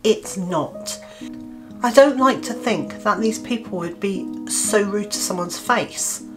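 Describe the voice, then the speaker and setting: A woman's voice: medium pitch, slow pace, music in the background. A British woman in her fifties, a gardening channel host, giving an earnest opinion piece to camera with hesitant restarts and fillers. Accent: British English. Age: 50s.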